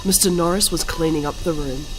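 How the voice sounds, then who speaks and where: A woman's voice: fairly low pitch, steady tone, fairly quick, music in the background, natural voice, low-quality recording. A woman playing a self-dramatising teenage goth girl, melodramatically narrating her own story in a scripted cartoon parody. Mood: dramatic narrating. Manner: melodramatic, reads typos and numbers literally.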